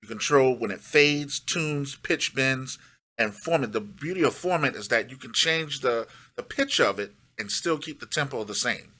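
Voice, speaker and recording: A man's voice: mid-range voice, expressive, normal speed, sharp tone. A middle-aged man giving a casual, step-by-step music production tutorial, emphatic and repeating his key phrases.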